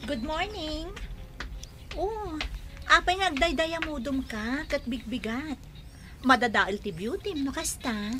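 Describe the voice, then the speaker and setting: A woman plays a chatty young neighbor in a radio play, teasing but quick to help. Her voice is high and bright with a tense edge, over a faint hiss. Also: animated, full character voice. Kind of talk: radio drama acting.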